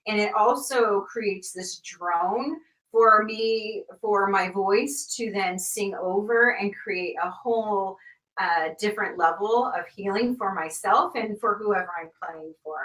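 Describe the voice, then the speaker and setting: A woman teaching a live online sound healing class, encouraging and full of ums and uhs, softer and slower when she guides the exercise. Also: medium pitch, distant mic. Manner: soft, slow guiding during the exercise. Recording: roomy, from off the mic.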